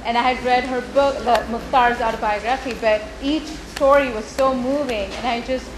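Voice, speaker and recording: A woman in the audience pours out gushing, heartfelt praise for the cast. Her slightly high voice rings in an echoey hall over steady room noise. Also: moderate pace. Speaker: audience member. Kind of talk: praising the performers.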